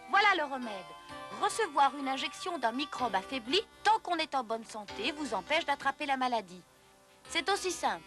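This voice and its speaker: A woman narrates an educational cartoon from a script. Her voice is high, and she explains things like a storyteller. Music is clearly present underneath.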